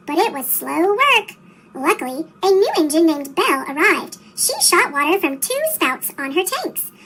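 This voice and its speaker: A woman's voice: very high-pitched, sharp tone, slight background noise. A woman reading a children's story aloud, her voice turned into a sped-up, childlike chipmunk sound. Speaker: storybook reader.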